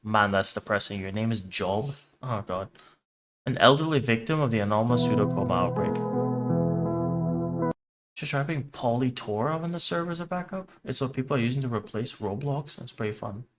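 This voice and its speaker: A man's voice: medium pitch, lively intonation, fairly quick. Casual man livestreaming over music. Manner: sweary, blunt, teasing banter with chat. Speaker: livestream host reacting to horror videos. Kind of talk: casual stream chat mixed with reading on-screen text aloud.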